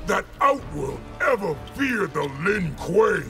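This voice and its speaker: A man playing a stern, ruthless warlord in a video game, with a gravelly voice and grave, formal delivery. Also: high-pitched, sing-song, slow pace, a bit bright, full character voice. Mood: commanding, menacing. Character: stern, menacing male warlord, ruthless and commanding. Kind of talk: video game fight intro dialogue. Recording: prominent music behind.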